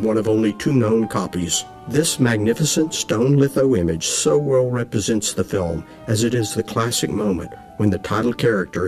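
A middle-aged man presenting an auction in scripted narration, measured and enthusiastic. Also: fairly low pitch. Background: soft music.

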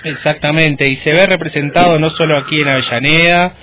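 A man's voice: fairly high pitch, fairly even intonation, a little fast, lo-fi sound, noisy background. A man with a Rioplatense Argentine accent, interviewing conversationally as a radio host.

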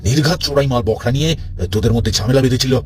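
A man's voice: medium pitch, close to an everyday voice. A man voicing a pompous, bullying police inspector in a cartoon, blustering and self-satisfied with a Bengali accent. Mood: bullying, accusing. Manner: blustering, self-satisfied, hectoring, halting repeats. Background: music.

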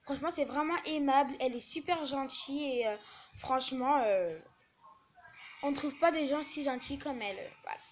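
A woman's voice: high voice, normal speed, a little hiss or hum. Young girl rambling behind a phone. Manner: hesitant, many fillers. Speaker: camera operator. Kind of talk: casual home video chat. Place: small home living room. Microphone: phone camera held by speaker.

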